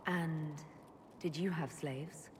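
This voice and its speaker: A woman's voice: sits low, steady tone, slow. An actress playing a frank, inquisitive adventurer woman in a video game, asking direct, probing questions with composure. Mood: challenging. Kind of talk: video game dialogue acting. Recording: reverberant hall with ambient noise.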